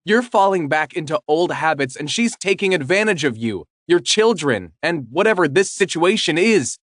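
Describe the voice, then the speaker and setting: A man's voice: on the high side, animated, moderate pace, no room sound, studio quality, voice pushed up, natural voice. A man voicing a teasing, worried girlfriend. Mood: upset, questioning. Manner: teasing, then sharp and questioning. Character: loving young girlfriend, affectionate then upset.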